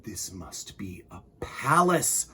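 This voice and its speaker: A man's voice: on the low side, big pitch swings, slow, sharp tone, husky, whispered, heavily acted. A man voicing a small toy teddy bear from a storybook, naive, wistful and full of wonder. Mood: awed wonder.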